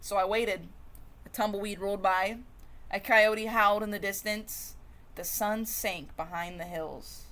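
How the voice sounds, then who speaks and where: A woman reading a children's storybook aloud in an expressive, dramatic way, with varied emphasis and pauses. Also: medium pitch, a little hiss or hum. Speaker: storybook reader.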